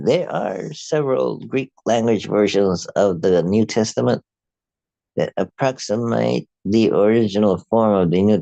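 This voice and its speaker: A man with an Afrikaans accent, a native Afrikaans speaker, reading a prepared commentary aloud in a measured, halting way. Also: on the low side, creaky, dark tone, dry recording, rough recording. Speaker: video host.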